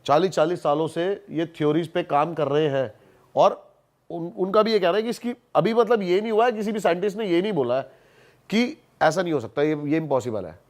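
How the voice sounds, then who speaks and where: A man's voice: a little high, fairly quick. A man in casual podcast conversation, telling stories and explaining things emphatically, repeating words for stress. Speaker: podcast guest.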